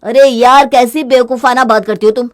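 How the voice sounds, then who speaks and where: Woman acting as a high-pitched scolding husband. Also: steady tone, moderate pace, lightly acted. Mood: annoyed, scolding. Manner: sarcastic, reproachful. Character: exasperated, scolding husband, critical of wasteful spending.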